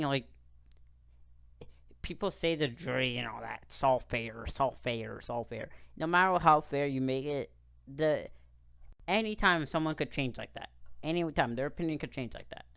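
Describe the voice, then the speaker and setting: A man's voice: mid-range voice, a bit bright, clean tone, no echo. Rambling young man, dull dry mic. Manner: rambling, halting, many fillers and restarts. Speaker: reaction video host. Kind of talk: casual commentary over a clip.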